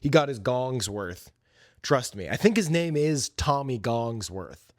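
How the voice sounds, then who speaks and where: A man's voice: mid-range voice, sing-song, a little slow, dry recording, clean studio sound. A man hosting a solo podcast, talking in a casual, rambling, slangy and conversational way. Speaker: podcast host.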